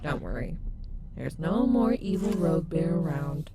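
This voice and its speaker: A woman's voice: low-pitched, lively intonation, measured pace, heavy background noise. A woman playing a sardonic but protective animatronic wolf rock star in a fan audio roleplay, blunt and affectionate. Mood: tender, soothing. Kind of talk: fan audio roleplay, soft intimate acting.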